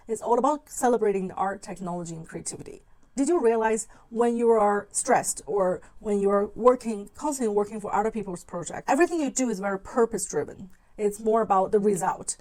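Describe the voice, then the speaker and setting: High-voiced woman, non-native English speaker, vlogging. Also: poor audio. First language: not English. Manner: informal, conversational, acts out own thoughts. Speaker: video channel host. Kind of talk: talking-to-camera video essay.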